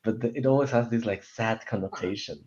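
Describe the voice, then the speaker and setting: A man's voice: fairly low pitch, brisk, a little mellow. A man in casual panel chat, repeating words as he thinks, heard through a dull microphone. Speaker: panelist on a film discussion livestream.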